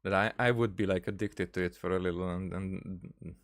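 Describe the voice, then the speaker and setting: Male streamer, low register, casual let's-play commentary, halting, repeats himself while thinking aloud. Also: fairly even intonation. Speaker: gaming streamer. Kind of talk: casual game playthrough commentary.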